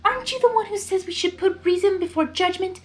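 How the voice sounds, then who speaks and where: A woman voicing a gentle female pegasus pony who speaks up earnestly and exclaims in a story reading. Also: very high-pitched, quick pace, faint music behind, voice pushed up. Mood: earnest reproach. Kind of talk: fan fiction character reading.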